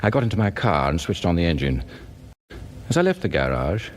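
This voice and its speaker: Anxious British professor, low voice, very animated intonation, formal, suspicious, radio drama narration. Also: male voice, a little fast. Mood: suspicious, uneasy. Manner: formal, brooding, swinging in emphasis. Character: anxious, suspicious professor narrating his own unravelling marriage. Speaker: narrator and lead actor.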